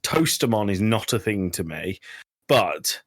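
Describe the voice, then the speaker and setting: A man's voice: on the low side, very animated, measured pace, slightly rough, no room sound, clean studio sound. A man co-hosting a podcast in casual banter, argumentative and exasperated, swearing freely. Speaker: podcast co-host.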